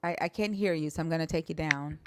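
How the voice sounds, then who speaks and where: A woman hosting a call-in live stream, brisk and direct with her callers. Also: deep voice, heavy background noise.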